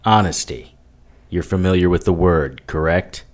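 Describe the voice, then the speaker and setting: Actor as a grim occult investigator, very deep voice, reverberant with noise. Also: male voice, measured pace. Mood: stern. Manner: terse, serious. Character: world-weary occult detective man. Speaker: narrator.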